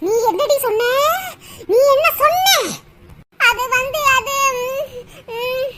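A woman playing a daughter-in-law possessed by a fierce goddess, who laughs, shouts and threatens her mother-in-law. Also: extremely high pitch, animated, takes it slowly, background noise, full character voice. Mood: fierce, mocking.

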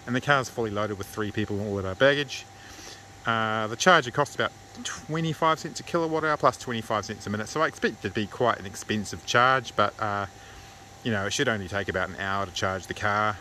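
A man with a New Zealand accent casually narrating an electric car road trip, relaxed and full of filler words. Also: on the low side. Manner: relaxed, chatty, many uh fillers. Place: inside a moving car and outdoors at chargers. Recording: noisy car and street background.

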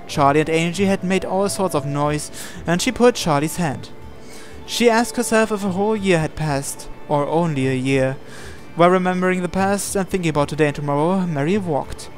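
Guy narrating a visual novel, kinda high voice, trips over lines now and then, faint music. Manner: stumbles and repeats phrases while reading. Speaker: gamer reading a visual novel aloud. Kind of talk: dramatic read-aloud narration with asides. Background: game music.

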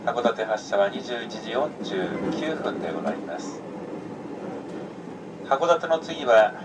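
A man announces arrivals and the next stops in a measured way, often starting with a short "e". His voice comes from a distant train speaker, and a loud rumble runs underneath it. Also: animated. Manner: measured, with short "e" lead-ins. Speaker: train conductor. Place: inside a moving train.

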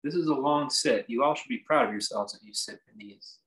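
A man leads a guided meditation as its teacher, in a voice of medium pitch. He gives his instruction off the cuff, in measured fragments. Reflective pauses break up his sentences as he goes. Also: a bit bright, rough recording.

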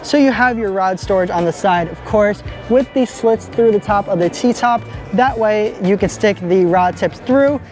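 A young man filming a walkaround boat review at arm's length in a large indoor boat show hall, talking with steady enthusiasm. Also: high-pitched, moderate pace, hardly any pauses, faint music behind. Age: young adult. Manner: enthusiastic, upbeat. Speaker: boat vlogger. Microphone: handheld camera at arm's length.

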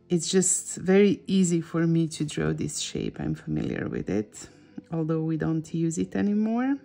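A woman's voice: on the low side, gravelly, dark tone. A middle-aged woman with a Croatian accent, a native Croatian speaker, giving a casual drawing tutorial and often searching for words. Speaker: drawing teacher and host.

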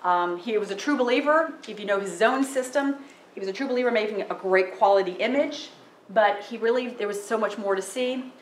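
Loud, chatty woman in an echoey room. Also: medium pitch, fairly quick, slight background noise. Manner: loud, chatty, with many fillers. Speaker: photographer giving a talk. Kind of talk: casual public talk. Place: library meeting room, indoors. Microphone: handheld microphone through PA.

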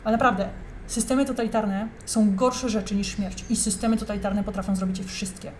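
Indignant young woman, mellow voice, faint hiss, emphatic. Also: medium pitch, fairly even intonation, fairly quick. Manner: indignant, emphatic, addresses viewers directly. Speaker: commentator reacting to a video. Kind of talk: heated reaction commentary.

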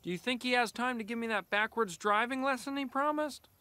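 A man voicing a cocky young race car in an animated game, with breezy, smug banter. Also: very high voice, fairly quick, a little hiss or hum. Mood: friendly, teasing. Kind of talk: animated game cutscene acting.

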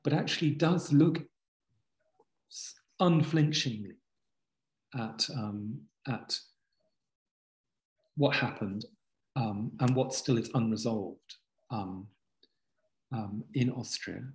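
A man in his fifties, a guest author on a video call from a book-lined home study, talking earnestly in a halting way with many restarts. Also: mid-range voice, a little mellow. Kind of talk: reflective conversational interview. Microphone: video call webcam.

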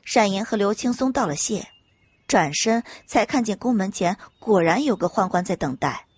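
A woman reading scripted dramatic audiobook narration in an expressive storytelling manner. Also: mid-range voice, moderate pace, breathy edge, no echo.

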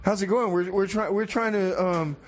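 A man's voice: high-pitched, normal speed, natural voice. A man playing a hesitant radio host in casual, improvised comedy chat. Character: hesitant male radio host trying to manage a mission. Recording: noisy recording.

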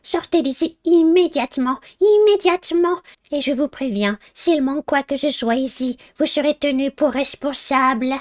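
A woman's voice: high-pitched, moderate pace, voice pushed up, natural voice. A woman voicing a stern, angry elderly headmistress in a dating game, imperious and scolding. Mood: indignant, scolding.

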